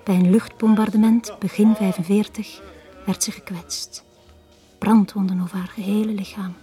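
Actress as wistful Flemish woman, mellow and confiding. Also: mid-range voice, level tone, moderate pace, soft background music, lightly acted. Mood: sorrowful. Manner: intimate, confiding, often dropping to near whisper. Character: wistful bar woman, war orphan confiding her past. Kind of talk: 1980s Dutch-language radio drama acting.

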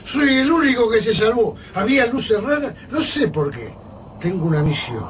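A man's voice: very high-pitched, very animated, moderate pace. A man playing a drunken, raving historian in a radio drama, with a Rioplatense Argentine accent and slurred, grandiose speech. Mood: dazed, haunted. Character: drunken, raving male historian, shaken lone survivor. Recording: noisy, slightly distant radio drama scene.

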